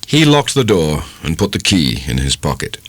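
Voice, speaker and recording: A man narrates classic fiction in a low voice with lively, swooping intonation. His storytelling is measured and dramatic. The sound is dry and close. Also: no room sound. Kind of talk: dramatic literary audiobook narration.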